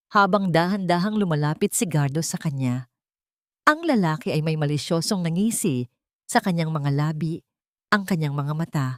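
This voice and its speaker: A woman's voice: fairly low pitch, no echo, studio quality. A woman reading a dramatic first-person story as a scripted narrator.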